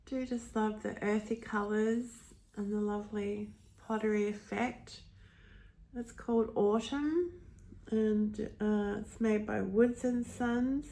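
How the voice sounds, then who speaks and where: Slow-talking nasal woman. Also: mid-range voice, creaky. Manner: slow, gentle, with frequent ums. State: hay fever congestion. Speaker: thrift vlogger. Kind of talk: casual show-and-tell of thrift finds.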